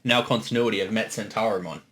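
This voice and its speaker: A man's voice: fairly low pitch, fast talker, a bit bright. A man giving casual creator commentary, talking conversationally about his own series.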